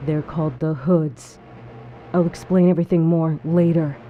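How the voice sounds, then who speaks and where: A woman's voice: low-pitched, fairly even intonation, moderate pace, natural voice. A woman playing an elusive, defiant masked vigilante in an audio crime drama, insistent and secretive in manner. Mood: cool, defiant. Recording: dull sound with faint background noise.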